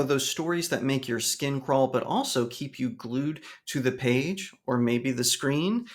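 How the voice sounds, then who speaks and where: A man hosting a video essay, reading scripted narration in a conversational way that speaks straight to the listener. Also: medium pitch. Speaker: host of a fantasy lore channel.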